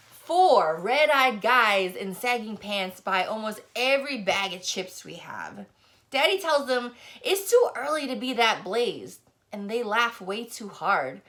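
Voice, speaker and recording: A woman reading a first-person novel aloud as scripted audiobook narration, with expressive delivery. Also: medium pitch. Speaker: audiobook reader.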